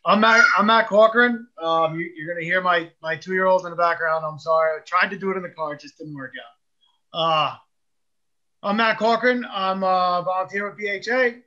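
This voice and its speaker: A man's voice: high voice, fairly even intonation, fairly quick, pushed and bright, lo-fi sound. A man volunteering as a speaker, talking casually on a video call with loose, filler-heavy speech.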